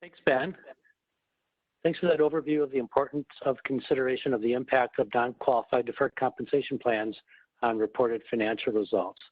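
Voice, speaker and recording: A man giving a prepared, formal webinar presentation in a measured, explanatory manner, as a corporate executive. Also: mid-range voice, steady tone. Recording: muffled phone line, roomy echo.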